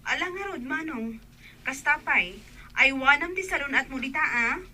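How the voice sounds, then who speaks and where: A woman's voice: sits high, animated, moderate pace, sharp tone, heavily acted. A woman acting a dutiful, candid younger sister in an Ilocano radio drama.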